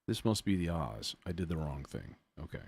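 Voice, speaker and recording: A man's voice: low-pitched, sing-song, moderate pace, gravelly, slightly rough. A man hosting a casual live music production demo, muttering as he thinks aloud with folksy phrasing. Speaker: music production tutorial host.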